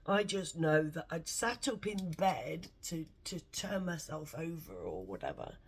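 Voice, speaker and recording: A woman with a congested, bunged-up nose, rambling excitedly and swearing a lot in a casual vlog from home. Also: low-pitched, measured pace, husky. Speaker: vlogger.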